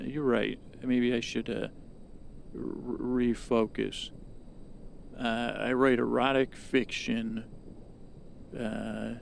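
A man with a creaky, gravelly voice, rambling through an improvised storytelling monologue as a host, halting and trailing off mid-thought. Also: mid-range voice, a little mellow, slight background noise.

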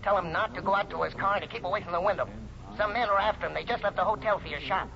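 Dull telephone sound in an old radio drama. an anxious young man, high and fast, giving terse, pressing speech. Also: creaky, crowd noise. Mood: urgent, anxious. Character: anxious young man urgently warning, named Benny. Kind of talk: 1950s radio drama acting.

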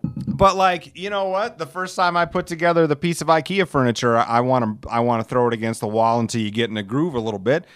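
Crisp-voiced middle-aged man rambling casually. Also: mid-range voice, fast, a bit bright, no room sound, faint music behind. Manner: profane, folksy, self-deprecating humor; chuckles while telling stories. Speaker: podcast host.

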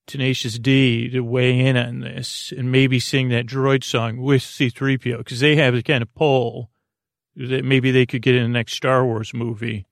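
A man hosting a bedtime podcast in a meandering, hesitant monologue full of stammered false starts and tangents, with a gravelly voice. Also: mid-range voice, flat delivery, normal speed, dry recording, studio quality. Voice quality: gravelly, creaky. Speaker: podcast host.

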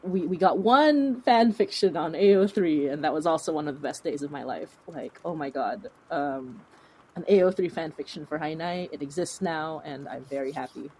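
A woman with a low-pitched, slightly husky voice chats as a podcast guest. She is effusive and emphatic, gushing in a casual conversational style. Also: normal speed, dark tone, poor audio. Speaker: podcast creator, interview guest. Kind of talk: casual conversational interview chat.

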